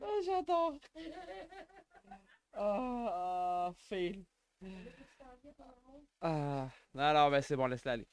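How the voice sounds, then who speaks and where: A man with a Quebec French accent hosting a casual livestream from home, excitable and quick to exclaim and joke. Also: high voice. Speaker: livestream host.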